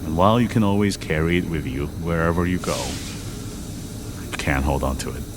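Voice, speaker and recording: A wise, gruff father, voiced by an actor who has lost his wife speaks very low and blunt. He gives advice with gentle weight. Steady background noise sits under this audio drama. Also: fairly quick, lightly acted. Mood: advising.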